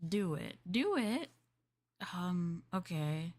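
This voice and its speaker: A woman's voice: on the low side, very animated, slow, darkish tone. A woman streamer playing a maid in a roleplay, chatting with viewers in a playful, teasing and politely formal way.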